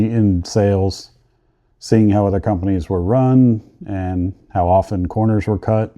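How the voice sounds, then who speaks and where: A middle-aged man narrating his personal story in measured, earnest, deliberate short phrases. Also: fairly low pitch, warm tone. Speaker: vlogger telling his own story.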